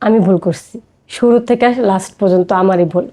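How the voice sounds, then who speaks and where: Bangladeshi lady telling her life story, talks fast, soft mellow voice, casual. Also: mid-range voice. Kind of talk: personal storytelling in casual talk.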